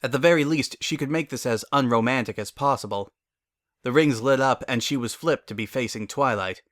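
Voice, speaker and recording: A man's voice: medium pitch, brisk, fairly bright tone, no echo, clean studio sound. A man giving a scripted dramatic narration of a story.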